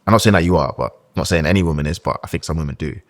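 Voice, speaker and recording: A man's voice: extremely low pitch, racing. A young man, a guest talking candidly and hesitantly in a casual podcast chat, often restarting his sentences.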